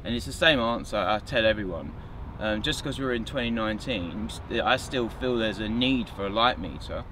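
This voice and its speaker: A man's voice: lowish voice, normal speed, noisy background. A young man hosting a photography vlog, chatting casually to camera with fillers and restarts, recorded on a lapel mic in a small studio. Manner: relaxed, rambling with fillers and repeated restarts. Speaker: photographer and channel host. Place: small studio office, indoors.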